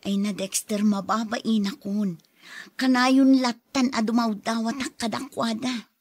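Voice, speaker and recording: A woman's voice: on the high side, steady tone, unhurried, darkish tone, no room sound. An actress playing a worn-down young wife and mother who pleads, in a Filipino radio drama with a Filipino accent. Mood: frustrated.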